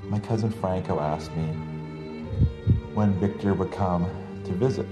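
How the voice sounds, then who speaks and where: Deep-voiced man narrating over music. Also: unhurried. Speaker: documentary narrator. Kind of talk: reflective documentary voice-over.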